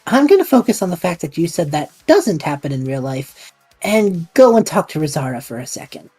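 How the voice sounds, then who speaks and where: A woman's voice: lowish voice, very animated, brisk, mellow, slightly rough, soft background music. A woman playing a bewildered but supportive young man in an audio drama, casual, hesitant and wry. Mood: confused.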